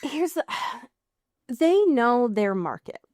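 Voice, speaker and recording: A woman's voice: on the high side, big pitch swings, takes it slowly, a little mellow, no echo, studio quality. A woman co-hosting a podcast in casual chat, slangy and emphatic, with plenty of swearing. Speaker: podcast co-host.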